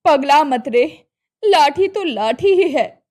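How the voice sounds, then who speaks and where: Casting: woman voicing a fussy, caring old village mother, high and lively, for a cartoon. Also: slow. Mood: fussing. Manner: fussing, down-to-earth scolding. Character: older village mother, scolding but caring.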